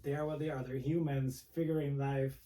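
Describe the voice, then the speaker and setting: Mellow-voiced man, off-mic. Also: medium pitch, fairly even intonation, a little fast, far from the mic. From Dominican Republic. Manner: soft-spoken, reflective, frequent restarts. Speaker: guest, music producer. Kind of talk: casual interview talk.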